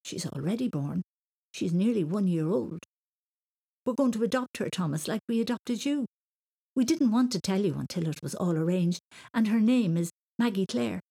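A woman's voice: medium pitch, animated, normal speed, gravelly, mellow, breathy edge. A woman voicing a loving, eager mother who talks tenderly and reassuringly in a children's audiobook. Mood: delighted. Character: loving, excited mother of a young boy.

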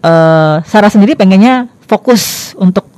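An enthusiastic woman hosts a radio talk show and keeps her guest going with steady murmurs of agreement. Her voice sits at a middle pitch and sounds dry and close, with no room around it. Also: normal speed, no room sound. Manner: enthusiastic, lots of encouraging murmurs. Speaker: radio host.

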